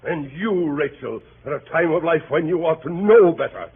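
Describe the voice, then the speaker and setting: A blustering middle-aged squire in an old radio drama, emphatic and rather high in pitch. He comes through a narrow band with light hiss. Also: animated, moderate pace, husky, heavily acted. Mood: outraged. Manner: emphatic, peremptory. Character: irate, blustering middle-aged country gentleman. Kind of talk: 1930s radio acting. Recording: scratchy phone-like 1930s radio recording.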